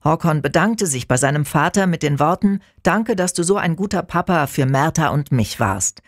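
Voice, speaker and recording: A woman's voice: low voice, expressive, normal speed, no echo. A woman reading scripted radio news as an anchor, close to a studio microphone.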